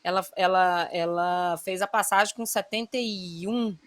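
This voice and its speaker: Bright-voiced, chatty woman in her forties. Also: fairly low pitch, fairly even intonation, a little slow, dry recording. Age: 40s. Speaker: radio show co-host. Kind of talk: casual radio chat.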